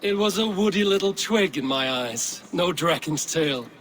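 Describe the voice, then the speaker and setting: Wry viking warrior chief, firm and dry, slightly high voice, game ambient noise. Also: male voice, lively intonation, moderate pace, fairly bright tone. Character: steady Viking clan leader and warrior.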